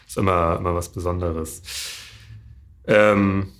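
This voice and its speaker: A man's voice: low voice, steady tone, slow, a bit bright. A man hosting a sports interview, asking questions in a chatty, encouraging way. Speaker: interviewer, host.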